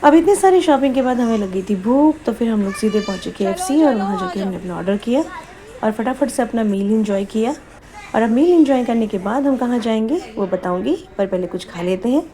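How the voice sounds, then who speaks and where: High-voiced woman vlogging. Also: expressive, a little fast, dark tone. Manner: chatty, mixes English phrases into Hindi. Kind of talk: casual vlog narration of a family outing.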